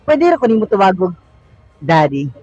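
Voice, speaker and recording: Male actor as friendly, familiar father figure for radio play, notably high voice, Filipino accent, lo-fi sound. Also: sing-song, normal speed, a little mellow. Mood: charming, attentive. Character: friendly, charming father of a student, middle-aged man. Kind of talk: amateur radio drama acting. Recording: muffled lo-fi recording.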